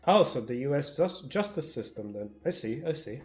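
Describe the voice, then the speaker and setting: A man's voice: a little high, big pitch swings, brisk. Dry-humoured man streaming a game. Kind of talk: casual live game commentary.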